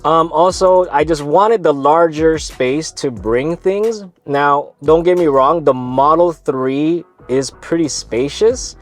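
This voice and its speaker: A man's voice: on the high side, slow, hardly any pauses, soft background music. Congested man chatting casually on camera. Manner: easygoing, rambling with self-corrections. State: congested, stuffy nose. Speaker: vlog host.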